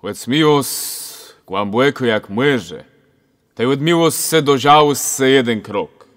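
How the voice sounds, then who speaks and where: A man acts as an older Kashubian village priest in a radio play, jovial and preachy. He delivers folksy advice very slowly, in a sing-song sermon manner with a Kashubian accent. His words ring in a long churchlike reverb. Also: medium pitch, expressive, fairly bright tone. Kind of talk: amateur radio-play acting. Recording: very reverberant hall-like sound.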